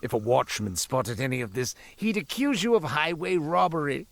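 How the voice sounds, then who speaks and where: Casting: a cursed game-world merchant, florid and wry, melodramatic, very animated pitch. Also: male voice, moderate pace, gravelly, no room sound. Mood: showy sales patter. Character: theatrical, self-pitying cursed merchant, former quartermaster. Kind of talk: scripted video game character monologue.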